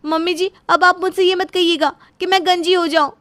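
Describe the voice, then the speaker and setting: A woman playing a high-pitched young daughter-in-law. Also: steady tone, brisk, fairly bright tone. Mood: cheeky. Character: put-upon, plaintive young daughter-in-law.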